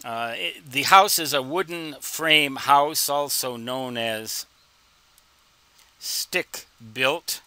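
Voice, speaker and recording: A man explaining things as an instructor in an informal tutorial, with deliberate phrasing and wry, folksy humor. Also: medium pitch, pushed and bright, smooth, dry recording. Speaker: instructor answering reader questions.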